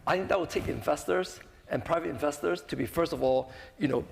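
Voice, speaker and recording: A man with a fairly high, gravelly voice talks off the cuff on a panel, tagging his points with little check-in questions. The hall rings around him, with some noise. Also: brisk. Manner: conversational, peppered with "right?" tags. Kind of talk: unscripted panel discussion.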